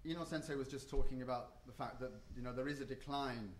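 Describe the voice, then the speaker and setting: A man's voice: medium pitch, fairly even intonation, fast talker, a little hiss or hum. Man speaking in an echoey conference room. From not Japan or the US. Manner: hesitant, many ums and restarts. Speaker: conference panelist. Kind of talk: conference panel talk.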